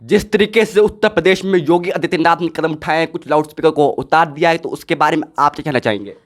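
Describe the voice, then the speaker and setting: A man putting questions as an interviewer, slightly halting in his phrasing. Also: a little high. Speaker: interviewer, news anchor.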